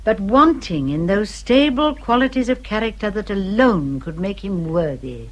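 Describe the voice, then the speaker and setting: Woman acting an emphatic, expressive English lady. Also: mid-range voice, normal speed, close to an everyday voice. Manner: emphatic, refined, effusive. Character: fond, opinionated older English lady, motherly confidante. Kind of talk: radio period drama acting.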